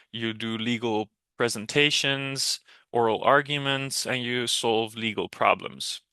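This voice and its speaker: A man moderating a panel, talking in a measured way with lots of fillers and restarts. His voice is bright and crisp, and the sound is dry and close. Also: medium pitch, no room sound. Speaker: host, program director. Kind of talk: panel moderation and program overview.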